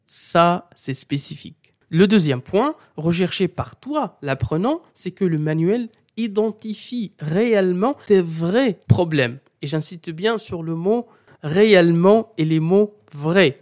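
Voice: mid-range voice, animated, a little slow, darkish tone. A language teacher giving a didactic talk, speaking straight to the learner and insisting on key points by repeating them. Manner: speaks directly to the listener as "tu". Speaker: Arabic teacher.

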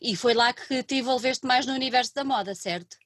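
A woman hosting an interview, asking questions with lively exclamations. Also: fairly high pitch, fairly quick. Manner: warmly enthusiastic, frequent exclamations and laughter. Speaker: interview host. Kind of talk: casual interview conversation.

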